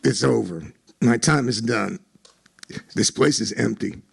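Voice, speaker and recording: Man reading as weary ramen chef for a short story: gravelly, terse, resigned, gruff. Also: on the low side, voice pushed down, full character voice. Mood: defeated. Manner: terse, grunted, slow phrases.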